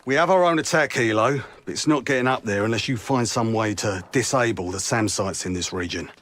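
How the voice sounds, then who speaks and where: An actor with a British accent playing a gruff, sardonic SAS commander in a war video game. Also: male voice, lowish voice, a little fast, a bit bright, slight background noise, lightly acted. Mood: brusque, dry. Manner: brusque, sardonic, clipped orders. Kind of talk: video game cutscene acting.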